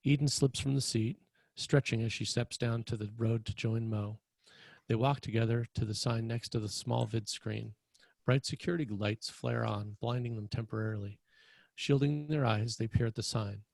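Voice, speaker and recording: A man's voice: mid-range voice, fairly even intonation, moderate pace, creaky, a little mellow, no echo. A man reading screenplay stage directions as a narrator at a table read.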